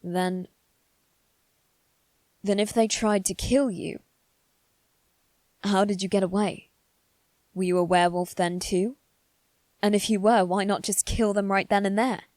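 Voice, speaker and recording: A woman voicing a guarded, haughty young noble boy in a scripted ASMR roleplay, with measured, formal phrasing. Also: medium pitch, fairly even intonation, darkish tone, no room sound, close to an everyday voice. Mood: probing, skeptical. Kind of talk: ASMR roleplay, one-sided scripted dialogue.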